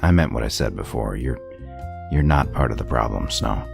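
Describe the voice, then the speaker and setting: Radio play role: man voicing a gruff wolfish sheriff, extremely deep, heavy gravel, terse and dryly teasing. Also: normal speed, soft background music, full character voice. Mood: sincere. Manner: laconic, dry teasing. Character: gruff, sardonic male wolf lawman, loyal underneath. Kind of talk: audio drama dialogue.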